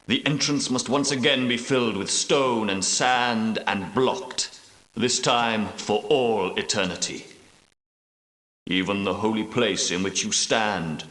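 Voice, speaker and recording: An actor playing an ancient, solemn leader of holy knights in a video game, speaking gravely and formally, with portentous weight. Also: male voice, medium pitch, fairly bright tone. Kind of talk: video game dramatic dialogue. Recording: roomy echo, distant from mic.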